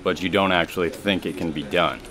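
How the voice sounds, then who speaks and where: A man's voice: low-pitched, fairly quick, lightly acted. A man playing a worried, stern federal agent handler in a radio drama, firm and exasperated. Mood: reluctant.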